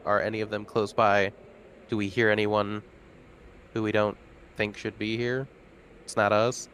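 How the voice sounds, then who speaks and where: A man playing a tabletop game, talking casually and haltingly, restarting his questions as he goes. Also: lowish voice, a bit bright, slight background noise.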